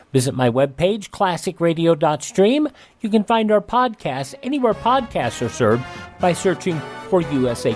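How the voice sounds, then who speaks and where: American radio host guy, polished outro read, really lively pitch, music under it. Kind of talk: scripted radio show outro.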